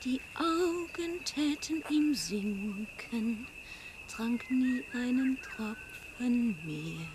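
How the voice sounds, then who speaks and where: An actress playing a gentle woman reciting an old ballad in a hushed, solemn poetic style for radio drama. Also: fairly high pitch, animated, noisy background. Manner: hushed, very slow.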